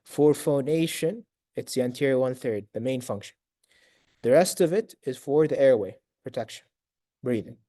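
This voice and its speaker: A man lecturing medical students in a raspy voice, quizzing them and praising answers, with Arabic fillers between points. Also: mid-range voice, lively intonation, moderate pace, mellow, dry recording, studio quality. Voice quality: raspy from long talking. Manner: sprinkles Arabic fillers like tamam, inshallah.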